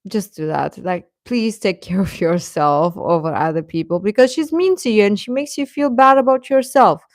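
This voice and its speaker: A woman's voice: medium pitch, a little mellow, dry recording, clean studio sound. A young adult woman hosting a live stream, chatting informally with her viewers and often chuckling as she talks.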